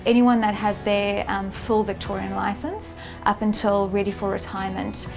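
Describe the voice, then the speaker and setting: A woman's voice: medium pitch, fairly even intonation, moderate pace, vocal fry. A woman who works in human resources, explaining things in a friendly, informative way for a promotional interview. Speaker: HR and marketing staff. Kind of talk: interview soundbite for a promo video. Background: background music.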